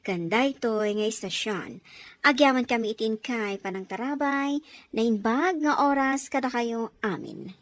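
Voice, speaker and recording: A woman's voice: fairly high pitch, measured pace. A woman narrating and announcing a radio drama in a scripted style.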